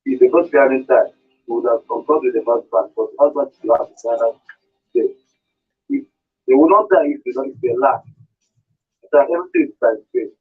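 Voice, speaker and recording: A Nigerian man with a husky voice phoning a radio show to give his opinion. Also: mid-range voice, lively intonation, brisk, long pauses. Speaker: radio phone-in caller. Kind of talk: giving an opinion on air. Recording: tinny phone line into radio.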